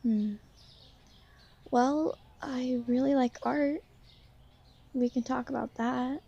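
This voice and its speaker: Woman as a slow, hesitant young vampire girl. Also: high voice, fairly even intonation, creaky, slightly rough, dull audio, full character voice. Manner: hesitant, halting, soft-spoken, apologetic. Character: insecure young female vampire, gentle, shy, newly turned. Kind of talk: ASMR roleplay, intimate one-sided conversation.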